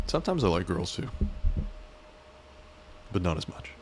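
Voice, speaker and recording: Male streamer, very low voice, rambling self-mocking banter, faint noise under it. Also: low-quality recording. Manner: rambling, joking, self-deprecating. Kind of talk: casual live-stream chat.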